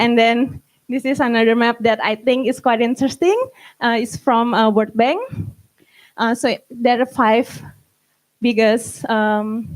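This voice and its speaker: A woman's voice: fairly high pitch, a little mellow, low-quality recording. A young woman from Indonesia with an Indonesian accent, giving an informal slide presentation and often correcting herself. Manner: halting, frequent self-corrections. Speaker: guest speaker. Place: live summit event.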